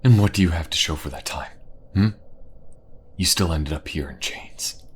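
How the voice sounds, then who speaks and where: A man's voice: sits low, normal speed, a little hiss or hum, lightly acted. A man playing a stern, vengeful king and grieving father in a fantasy audio roleplay, imperious and menacing. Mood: scornful.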